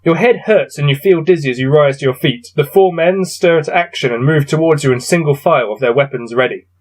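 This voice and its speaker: A man reading a gamebook aloud and adding low-key, wry muttered commentary as he plays. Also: fairly quick. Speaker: solo gamebook player and reader.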